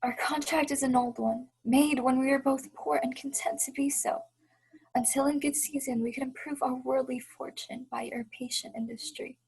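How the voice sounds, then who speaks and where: A woman's voice: high voice, steady tone, moderate pace, vocal fry, darkish tone, slightly rough, full character voice, low-quality recording. A young woman playing a heartbroken but resolute, dignified fiancée in a radio play, speaking earnest, formal period English. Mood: wounded, resolute. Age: young adult.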